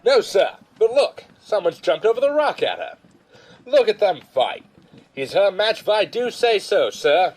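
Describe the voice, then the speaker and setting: A man's voice: high-pitched, sing-song, a little slow, darkish tone, heavily acted. A man playing a dutiful, anxious soldier making deferential, urgent reports to his captain in an audio drama. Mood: urgent, excited.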